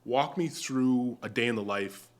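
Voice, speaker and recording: A man hosting a podcast, chiming in with short, encouraging prompts. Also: medium pitch. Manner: quick, encouraging interjections. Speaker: podcast host. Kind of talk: casual interview.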